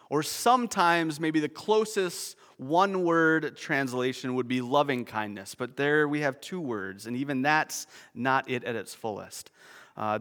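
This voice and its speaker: A man's voice: on the high side, a bit bright, long reverb tail. A man preaching a sermon, explaining a word's meaning with nerdy, self-deprecating asides. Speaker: pastor.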